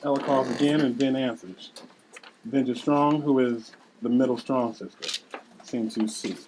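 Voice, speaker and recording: A woman's voice: a bass voice, steady tone, measured pace, darkish tone, a little hiss or hum, rough recording. A woman reading scripted scene narration and stage directions at a table read.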